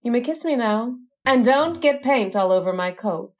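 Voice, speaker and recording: A woman's voice: fairly high pitch, animated, normal speed, lightly acted. A woman playing a jealous immortal witch wife in an old-time radio drama, teasing and possessive, with threats wrapped in sweetness. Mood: sweetly threatening. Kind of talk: old-time radio horror-comedy acting.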